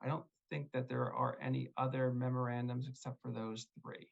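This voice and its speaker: A man's voice: medium pitch, monotone, normal speed, vocal fry. A man speaking as an attorney at a zoning hearing over a video call, polite and hesitant with many fillers. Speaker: attorney for the applicant. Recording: dull lo-fi call audio.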